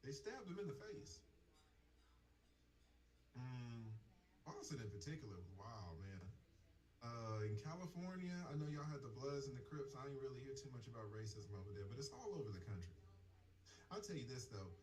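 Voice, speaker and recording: A man's voice: mid-range voice, big pitch swings, normal speed, long pauses, darkish tone, rough recording. A man in his forties from Atlanta with a Southern accent, giving his opinion in a casual monologue full of rhetorical questions. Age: 40s. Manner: conversational, repeats himself, rhetorical questions. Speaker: commentator on a video clip.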